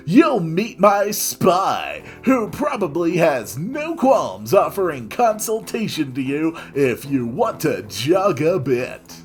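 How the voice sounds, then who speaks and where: A man voicing a jovial but stern male school nurse in a visual novel, with emphatic, chiding, drawn-out delivery. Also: fairly high pitch, breathy edge, voice pushed up, exaggerated voice. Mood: cheerful.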